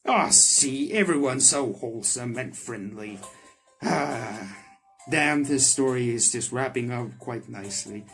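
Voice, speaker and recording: A man's voice: mid-range voice, lively intonation, measured pace, creaky, fairly bright tone. Man reading a visual novel aloud, music behind. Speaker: gaming video creator playing a visual novel. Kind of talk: reading game text aloud with character voices and casual reactions.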